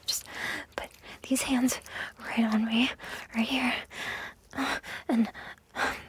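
A woman performing a whispered audio roleplay, with a breathy, pleading delivery that keeps repeating itself. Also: on the high side.

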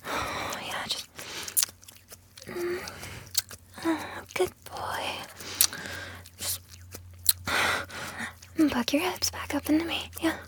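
A high-pitched woman whispers slowly and breathily, with sighs between her phrases. Her delivery is intimate and coaxing, shifting between commanding and soothing. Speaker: audio roleplay performer.

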